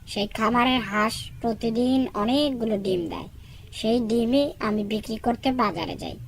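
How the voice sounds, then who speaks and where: A woman's voice: fairly high pitch, fairly even intonation, normal speed, a little hiss or hum, close to an everyday voice. A woman voicing an earnest, dutiful young male fox in a cartoon, polite and eager in manner. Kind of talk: cartoon dubbing.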